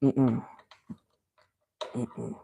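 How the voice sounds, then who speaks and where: A man's voice: very slow, noisy background. A man hosting a casual live unboxing stream, rambling with lots of fillers and losing his voice from talking so much. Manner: rambling, filler-heavy, enthusiastic.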